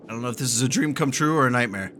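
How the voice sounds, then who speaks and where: A man's voice: medium pitch, very fast, noisy background. A man giving casual, reactive, joking commentary as he plays a video game. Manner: reactive, jokey. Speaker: gaming YouTuber. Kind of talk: casual let's-play commentary.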